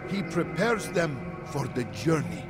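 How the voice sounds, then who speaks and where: A man's voice: on the high side, very animated, slow, over loud music, exaggerated voice. An actor playing a devoted, preachy follower who declaims reverently for his master in a video game. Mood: resigned, loyal. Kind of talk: video game cutscene acting.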